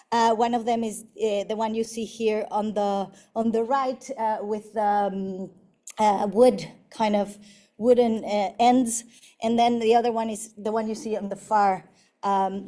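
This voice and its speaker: A middle-aged woman from Mexico, an architect giving a university lecture on her projects, speaking haltingly with many fillers and restarts. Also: a little high, warm tone.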